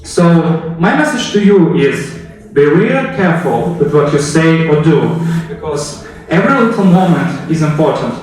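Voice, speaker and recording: A man's voice: a little high, animated, moderate pace, darkish tone. A man giving a storytelling speech as a public speaker, narrating history dramatically in the second person and putting rhetorical questions to his listeners. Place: echoey indoor room, recorded from a distance. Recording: very echoey room, distant microphone.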